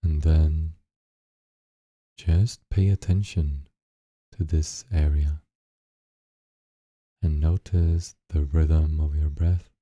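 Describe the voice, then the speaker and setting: A man leading a guided meditation, giving gentle, unhurried instructions with long pauses between short phrases. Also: very deep voice, fairly even intonation, no echo, muffled recording.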